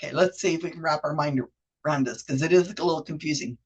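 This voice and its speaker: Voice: mid-range voice, quick pace, slightly rough, poor audio. A Wikipedia editor with a cold giving a chatty, rambling walkthrough of pages shared on screen, often correcting themselves mid-sentence. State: has a cold. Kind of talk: casual screen-share explainer.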